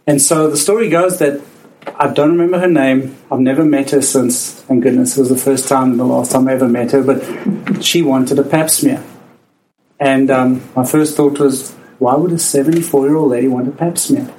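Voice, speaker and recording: A man's voice: medium pitch, fairly even intonation, mellow, rough recording. A South African man in his forties tells personal stories from his work as a doctor, in a medium voice. He halts and restarts often and laughs easily. Faint noise sits under the recording. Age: 40s. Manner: halting, with frequent restarts and laughter. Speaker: physician. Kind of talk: personal storytelling.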